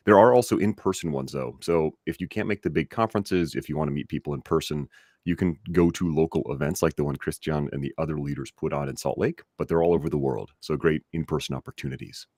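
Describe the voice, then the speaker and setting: A man with a very low, deep voice hosts a live webinar in an upbeat, chatty way, talking directly to the audience. The sound is dry and close, as if from a desk mic on a video call. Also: a little mellow, no echo. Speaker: host and trainer.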